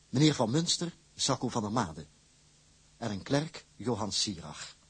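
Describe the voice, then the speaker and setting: A man's voice: medium pitch, husky. A man announcing scripted radio drama credits in a formal read. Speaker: announcer reading cast credits.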